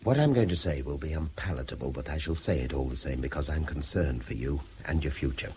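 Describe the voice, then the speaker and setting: An actor playing a stern, educated middle-aged English headmaster, formal and lecturing, in a British radio drama. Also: male voice, rumbling low, lively intonation, brisk, a little mellow, a little hiss or hum. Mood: stern, concerned. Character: stern, educated male headmaster figure, commanding and concerned.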